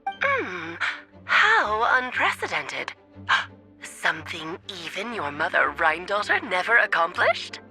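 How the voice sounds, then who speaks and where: A woman's voice: medium pitch, huge pitch swings, slow, bright, forceful tone, soft background music, full character voice. A woman voicing a whimsical, theatrical witch in an anime game, teasing and airy in manner.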